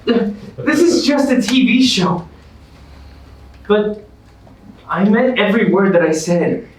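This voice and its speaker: Earnest male reality contestant played by a man, slow and widely varied delivery, distant in a very echoey room with an audience. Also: sits high, expressive. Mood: earnest, embarrassed. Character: earnest man named happiness contestant, won-back ex-boyfriend. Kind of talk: staged musical comedy reading. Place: indoor stage reading with audience behind.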